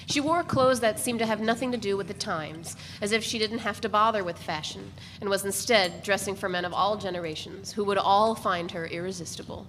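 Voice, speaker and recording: A woman's voice: mid-range voice, a little fast, hardly any pauses, a bit bright, faint music behind. A woman giving a literary narration as part of a dramatic reading of a novel. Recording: noisy, reverberant hall recording.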